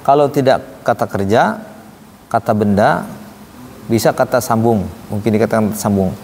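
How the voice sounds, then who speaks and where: A man's voice: lowish voice, normal speed, gravelly, darkish tone. A man teaching an informal religious lesson, explaining conversationally and often checking with rhetorical questions. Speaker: Quran recitation teacher. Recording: reverberant hall with obvious background noise.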